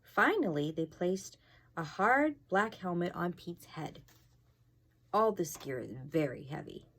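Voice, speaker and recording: A woman's voice: on the low side, big pitch swings, slow, fairly bright tone. A woman fire inspector reading a storybook aloud to children, expressively, with casual asides and questions to her young listeners.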